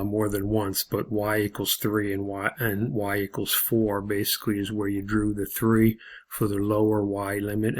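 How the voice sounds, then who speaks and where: A man's voice: fairly low pitch, a bit bright. A man giving an informal video lesson as an instructor, explaining methodically step by step in a hesitant way.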